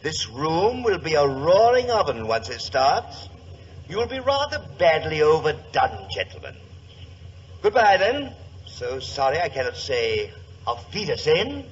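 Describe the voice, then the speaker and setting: Smug German villain, echoing old radio. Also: male voice, fairly high pitch, wildly swooping pitch, measured pace, a little hiss or hum. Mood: smug menace. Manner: formal, precise, theatrical. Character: smug, polite German saboteur, menacing middle-class villain. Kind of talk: 1940s radio drama acting. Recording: muffled old radio recording, long reverb.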